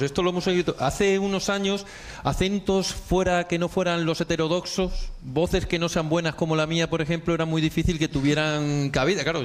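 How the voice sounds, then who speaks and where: A man hosting a radio panel discussion, chatty and self-deprecating, with many fillers. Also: on the high side. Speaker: radio host.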